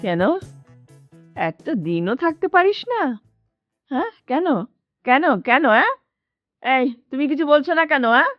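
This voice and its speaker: A woman's voice: high-pitched, very animated, measured pace, pauses often, no room sound, muffled recording, faint music behind. A woman playing an elderly, half-blind, doting but scolding Bengali mother in a radio drama, with a plaintive, fussing manner. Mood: scolding, hurt. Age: older.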